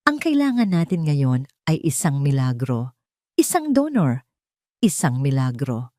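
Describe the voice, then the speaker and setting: A woman voicing a grave, gentle hospital doctor in an audio drama, calm and measured, mixing English and Tagalog. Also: rumbling low, dry recording, clean studio sound, pitched below the performer's own voice, full character voice. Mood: gently calming.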